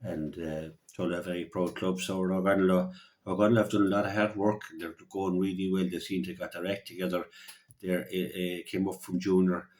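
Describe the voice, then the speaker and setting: Deep-voiced Irishman previewing hurling. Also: level tone, darkish tone. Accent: Irish, County Clare. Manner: halting, often repeating words. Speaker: local radio hurling pundit. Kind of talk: conversational sports preview.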